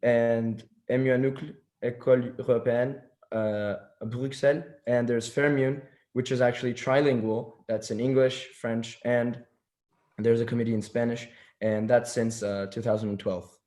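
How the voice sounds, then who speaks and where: A man's voice: medium pitch, steady tone, moderate pace, mellow. Hesitant teenage boy presenting a workshop. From Turkey. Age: teens. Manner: hesitant, many fillers and restarts.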